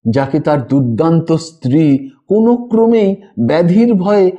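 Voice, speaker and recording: A man narrating a ghost story as a dramatic audiobook, with measured, expressive and suspenseful delivery. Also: on the high side. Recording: dull lo-fi voice track over music. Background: background music.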